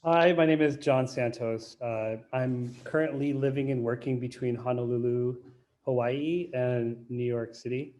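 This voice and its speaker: A man, a graphic designer, introducing himself on a video call in a measured, slightly halting way. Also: mid-range voice, steady tone, darkish tone. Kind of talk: self-introduction.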